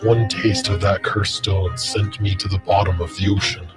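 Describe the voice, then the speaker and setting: Male actor as haunted hunter, low-pitched, solemn dramatic trailer narration, brooding, loud string music behind. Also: steady tone, normal speed, lightly acted. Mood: brooding, ominous. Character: grim, haunted man hunting false gods.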